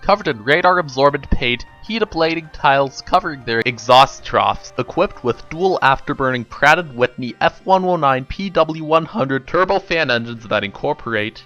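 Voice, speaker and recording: A man's voice: mid-range voice, pushed and bright, lo-fi sound. A man giving a theatrical, emphatic dramatic reading of a comedic story. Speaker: narrator reading a book aloud.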